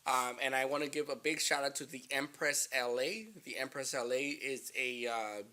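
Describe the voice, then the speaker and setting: A man hosting a casual interview, relaxed and encouraging, with lots of fillers. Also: mid-range voice, moderate pace. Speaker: podcast host.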